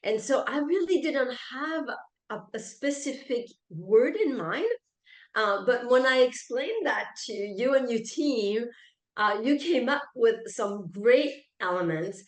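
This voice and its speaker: A woman's voice: a little high, expressive, measured pace. Self-assured Frenchwoman in an echoey room. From France. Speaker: interview guest, keynote speaker, coach and author. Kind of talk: conversational interview answers. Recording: roomy, echoey sound.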